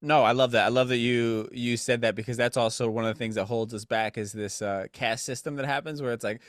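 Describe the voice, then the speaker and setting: A man hosts a casual podcast interview, full of encouragement and quick affirmations. He stumbles and restarts his phrases as he goes. The sound is dry and close, with no room echo. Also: mid-range voice, steady tone. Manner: enthusiastic, affirming, talks in restarts. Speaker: podcast host.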